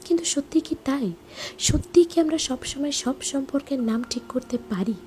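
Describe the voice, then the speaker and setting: A woman giving a reflective, sentimental scripted introduction to a story, with dramatic pauses. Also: high-pitched, warm tone, a little hiss or hum. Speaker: introducer, narrator.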